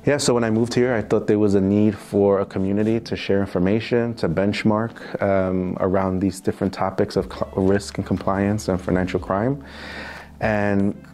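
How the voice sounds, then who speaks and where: A man's voice: fairly low pitch, flat delivery, moderate pace, darkish tone. A man from New York City with a New York accent, answering interview questions in a casual, hesitant way full of fillers and restarts. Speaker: interview guest, financial crime specialist.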